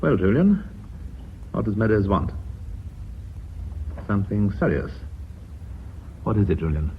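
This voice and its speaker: An actor playing a courteous, fussy board chairman in British radio drama, measured and formal. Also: lowish voice, sing-song. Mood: formal, calming. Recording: tinny, faintly noisy radio drama recording.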